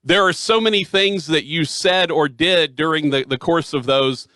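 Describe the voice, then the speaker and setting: A man's voice: fairly high pitch, moderate pace, sharp tone, no echo. A middle-aged man hosting a sports talk radio show, chatting casually in an interview, close on the mic in a small studio. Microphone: headset or studio mic, close up.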